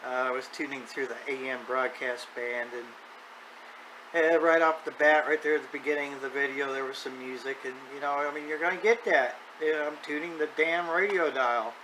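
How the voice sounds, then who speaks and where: A man's voice: mid-range voice, steady tone, normal speed, sharp tone. Man in his fifties, noisy recording. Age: 50s. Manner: plain-spoken, folksy, unscripted. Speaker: radio hobbyist video host. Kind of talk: casual rambling vlog talk. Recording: clear background noise.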